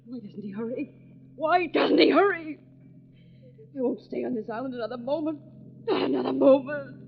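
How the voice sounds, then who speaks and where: A woman's voice: high-pitched, moderate pace, vocal fry, slight background noise. An actress playing an anguished, overwrought young woman in a 1940s radio mystery. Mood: frantic. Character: anguished young woman fleeing a lonely island. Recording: muffled vintage radio recording.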